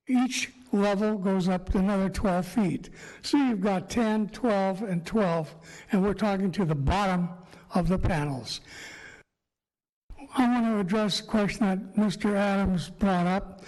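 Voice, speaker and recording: A man with a sore throat and a slightly raspy voice, giving a halting public presentation to a city council. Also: high voice, a little mellow. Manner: slow, halting, many fillers. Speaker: applicant speaking at council meeting. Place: council chamber. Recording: echoey council chamber.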